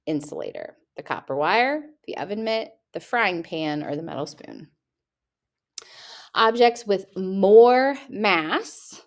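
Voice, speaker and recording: Teacher woman reading test aloud, low-pitched. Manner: patient, asks questions back to the listener. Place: at a computer, screen recording.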